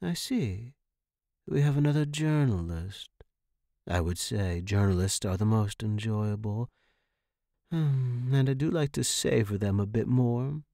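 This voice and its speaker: A man's voice: lowish voice, measured pace, vocal fry, dark tone, no echo, studio quality. A man playing an imperious, sinister woman in a horror story, commanding and haughty. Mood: sinister relish. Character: imperious sinister woman in purple, savoring her prey.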